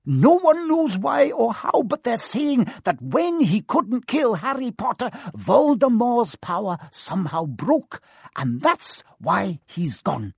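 A man's voice: very high-pitched, sing-song, takes it slowly, vocal fry, breathy edge, no echo, clean studio sound, heavily acted. A man voicing a stern, prim older woman professor of witchcraft who talks in a clipped, impatient way. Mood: shaken. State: trembling with shock at the end. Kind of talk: audiobook character voice.

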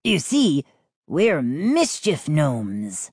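A woman voicing a small male mischief gnome in a children's audiobook, raspy, solemn and pleading. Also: deep voice, huge pitch swings, extremely slow, darkish tone, no room sound, clean studio sound, full character voice. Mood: matter-of-fact. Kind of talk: audiobook character voice.

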